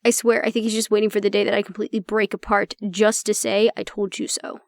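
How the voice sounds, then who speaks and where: A woman's voice: mid-range voice, level tone, fast talker, no echo, clean studio sound, lightly acted. A woman acting in an audio roleplay as an exasperated, blunt agent, talking intimately and sardonically to the listener. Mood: frustrated, resigned. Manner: blunt, sardonic, conversational, swearing. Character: exasperated woman agent venting about her stubborn, disapproving father.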